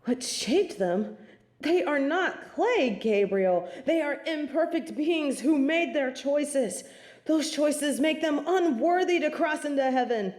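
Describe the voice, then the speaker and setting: A woman's voice: high-pitched, animated, slow, a little mellow. A woman playing a snobbish, merciless angel of judgement in an audio drama, speaking formally with imperious disdain. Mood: alarmed, indignant. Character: haughty, merciless female angel of judgement, snobbish and self-righteous. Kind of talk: scripted audio drama acting. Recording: roomy, echoing sound.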